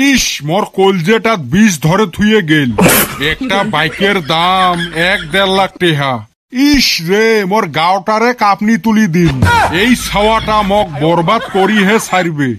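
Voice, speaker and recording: A man's voice: sits high, background noise. A man voicing a grumpy, miserly village father in a comedy cartoon, scolding and exclaiming in a Rajbongshi accent.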